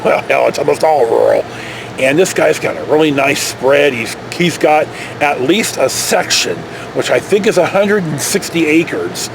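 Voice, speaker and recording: A man's voice: on the high side, background noise. An American man from California tells a casual, rambling anecdote in a folksy, digressive, mildly profane way. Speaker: storytelling vlogger.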